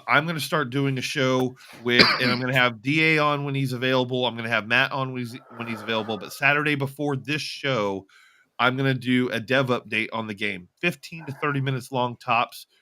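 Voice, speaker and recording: A man's voice: medium pitch, very noisy. A man co-hosting a podcast in casual livestream chat, informal and blunt in the way he talks. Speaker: podcast co-host.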